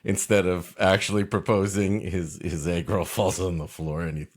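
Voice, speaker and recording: A man co-hosting a comedy show, riffing on a story in a sardonic, deadpan joking way. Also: sits low, moderate pace. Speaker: comedy co-host.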